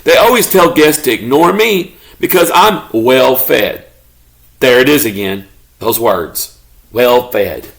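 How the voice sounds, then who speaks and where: A man voicing a good-natured, grumbling pet beagle telling his own storybook tale in an expressive, mock-indignant way. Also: medium pitch, slow, gravelly, exaggerated voice.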